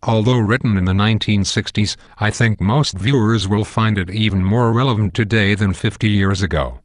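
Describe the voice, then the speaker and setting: A low-pitched male text-to-speech voice acts as an animated host. The scripted delivery is formal and explanatory, and the sound is dry. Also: normal speed, no echo, natural voice. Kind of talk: scripted presentation.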